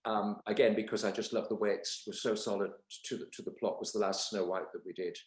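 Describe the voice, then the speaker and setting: A man with a fairly low voice chats casually, looking back as a stage performer would. The sound around him is roomy and echoing. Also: steady tone, fast. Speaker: pantomime performer in conversation. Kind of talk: casual reminiscing chat.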